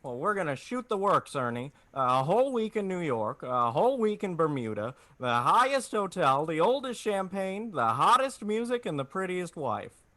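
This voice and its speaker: Guy doing a stubborn but tender young hometown man, old radio play, hesitant, highish and close-miked dry. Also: sing-song, normal speed, sharp tone, no echo, heavily acted. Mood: elated. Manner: hesitant, stammering delivery. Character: young small-town man, stubborn, flustered, tender-hearted dreamer. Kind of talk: 1940s radio drama acting. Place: indoors, recorded over a video call.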